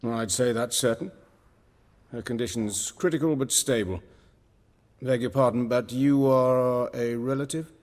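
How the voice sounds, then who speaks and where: An actor playing an erudite, steely surgeon in a video game, speaking formally and precisely in a husky low voice. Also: male voice, a little slow, a little mellow, long reverb tail, lightly acted. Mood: clinical, formal. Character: composed, erudite male surgeon with steely resolve.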